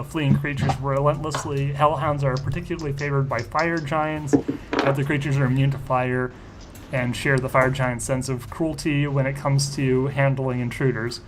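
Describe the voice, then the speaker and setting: A man's voice: mid-range voice, poor audio. A man chatting casually as he plays a tabletop roleplaying game, stammering a little as he reads and explains. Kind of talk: casual group roleplaying chat.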